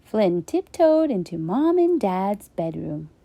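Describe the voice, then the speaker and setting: Gentle, expressive bedtime storyteller woman. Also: medium pitch, slow pace, creaky. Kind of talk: children's bedtime story read-aloud.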